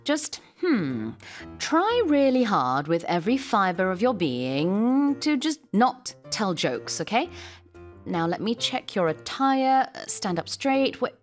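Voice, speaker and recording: Casting: a woman to play a bossy female coach, easily exasperated, expressive and slightly high, sketch comedy with music underneath. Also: takes it slowly. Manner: firm, instructing, exasperated. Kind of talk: comedic language-lesson skit.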